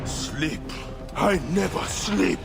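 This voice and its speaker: An actor playing a vengeful Egyptian warrior in a video game, menacing and furious, over loud music. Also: male voice, high-pitched, sing-song, creaky, full character voice. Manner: slow, menacing declarations rising to shouts. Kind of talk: dramatic video game acting.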